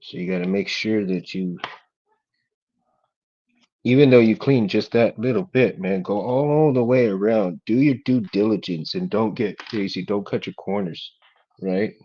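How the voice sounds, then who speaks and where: A man with a monotone voice, chatting casually and quietly as he works on a hobby build. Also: on the low side, a little slow, highs cut off. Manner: laid-back, talks quietly while working. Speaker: hobby craft vlogger. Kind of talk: casual working chat while building.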